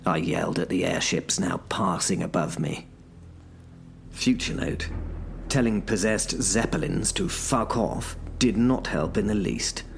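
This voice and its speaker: Slow, low-voiced man narrating. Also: vocal fry, a little hiss or hum. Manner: wry, self-mocking, dry British humour. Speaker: narrator and lead character. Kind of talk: first-person horror audio drama narration.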